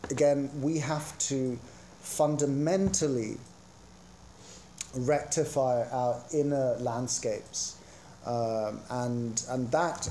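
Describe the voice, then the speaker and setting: There is some background noise behind a man with an English accent. His voice is relaxed and mellow, sitting at a middling pitch. He delivers an academic talk thoughtfully, halting often as he searches for the next phrase. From England. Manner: hesitant, halting, reflective. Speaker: conference speaker. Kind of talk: academic talk on religion, partly improvised. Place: indoor conference presentation.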